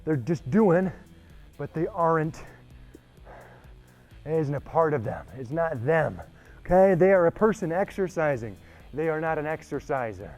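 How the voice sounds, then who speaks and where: A male fitness coach with a fairly high voice talks through a workout over music. He is winded from exercising, yet keeps a conversational, emphatic, motivational delivery, often checking in with the listener. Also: lively intonation, a little slow, pauses often, darkish tone. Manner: emphatic, conversational, frequent rhetorical check-ins. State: exerting, getting winded. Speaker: fitness trainer leading a workout. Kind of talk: motivational talk during exercise.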